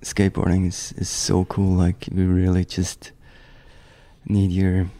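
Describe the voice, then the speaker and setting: A man's voice: low voice, a little slow. A man in his thirties from the German-speaking part of Switzerland, chatting loosely as an interview guest with many restarts. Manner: relaxed, rambling, many restarts and "like". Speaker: guest, professional snowboarder. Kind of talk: casual interview chat.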